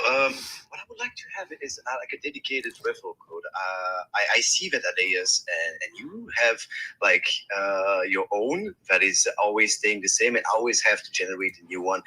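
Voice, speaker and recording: A man whose first language is French talks with a French accent in a relaxed online audio chat. His voice is bright and sits a little low. He stops and starts often and keeps reaching for the right words. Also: slightly rough, rough recording. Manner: halting, many restarts and fillers. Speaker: guest speaker. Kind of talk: casual group audio chat.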